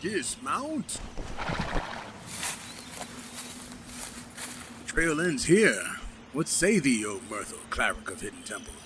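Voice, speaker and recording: An actor playing a pompous, hearty, noble paladin in a fantasy comedy audio drama, declaiming grandly in mock-archaic speech. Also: male voice, mid-range voice, sing-song, normal speed, noisy background, close to an everyday voice. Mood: solemn, commanding.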